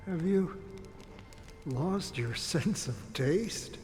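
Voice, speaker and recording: An actor playing a mild, curious male traveler in a game, talking gently and conversationally. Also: fairly high pitch, lively intonation, very slow, soft background music. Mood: gentle, puzzled. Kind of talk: quiet game dialogue. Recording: long reverb, faint ambient noise.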